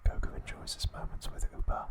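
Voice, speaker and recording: A man narrating an episode recap as an ASMR host, speaking deliberately in short phrases with pauses.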